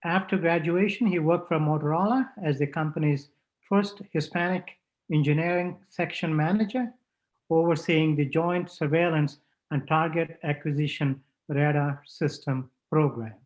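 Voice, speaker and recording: A man giving a formal introduction at a graduation ceremony, halting with many filler sounds. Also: on the high side, fairly even intonation, lo-fi sound. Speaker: dean introducing a guest speaker.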